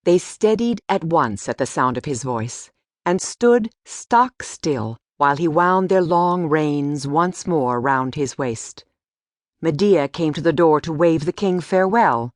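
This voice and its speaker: A woman narrating a storybook audiobook in an expressive, deliberate way. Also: low-pitched, no room sound, clean studio sound.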